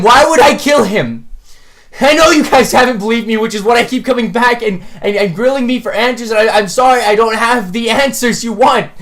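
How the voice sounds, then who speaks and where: A man's voice: extremely high pitch, a bit bright. A man playing a grieving, desperate young murder suspect in an audio drama, stammering and swearing as he pleads his innocence. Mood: desperate pleading.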